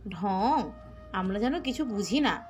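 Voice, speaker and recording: Woman as a cheeky sister, swooping pitch. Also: animated, slow pace, faint music behind. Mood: mocking. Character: cheeky, stubborn, mocking younger sister. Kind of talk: audio drama acting.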